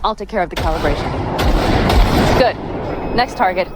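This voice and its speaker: An actress playing a tough young woman mercenary in a video game, brisk, practical and wry. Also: fairly low pitch, lively intonation, natural voice. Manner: brisk, practical, wry, casually foul-mouthed. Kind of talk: video game cutscene dialogue, naturalistic. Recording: clear vehicle and ambience noise behind.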